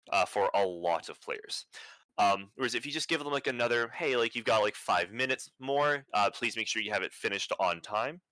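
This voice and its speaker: A young man presenting at an online conference, talking in a flat, near-monotone way with many fillers and restarts. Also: medium pitch, dry recording.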